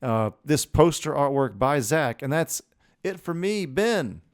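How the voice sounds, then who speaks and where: Dude with a smooth clear voice, joking around on a podcast, super casual. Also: male voice, fairly high pitch, sing-song, unhurried. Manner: irreverent, joking. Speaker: podcast co-host. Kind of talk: casual chat and banter.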